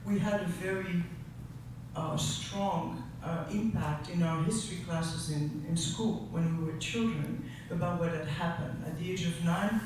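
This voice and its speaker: A man in his sixties from Europe, a teacher speaking earnestly at a public forum, with passion and halting restarts. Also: a little high. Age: 60s. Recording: echoey room, distant mic, noisy.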